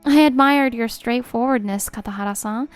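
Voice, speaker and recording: A woman playing a sincere, gentle young restaurant employee in a manga drama. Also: a little high, normal speed, clear, resonant tone, no echo, lightly acted. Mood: wistful, tender. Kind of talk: manga voice acting. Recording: music behind the voice.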